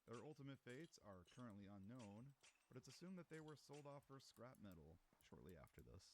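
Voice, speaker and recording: Man narrating, lively pitch, noisy recording. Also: fairly quick. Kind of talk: scripted documentary-style narration of a fictional railway history.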